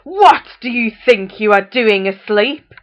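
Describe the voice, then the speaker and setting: A woman voicing a cruel, theatrical storybook mother who scolds in a drawn-out, menacing way. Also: on the high side, expressive, voice pushed up. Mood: furious. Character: cruel, shrieking mother, false-sweet and menacing.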